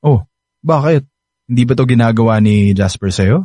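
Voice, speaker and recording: A man's voice: mid-range voice, moderate pace, warm tone, dry recording, lightly acted. A man playing a gallant, bashful young suitor in a Filipino radio romance, with a Filipino accent and an earnest, teasing manner. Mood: caring, earnest. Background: background music.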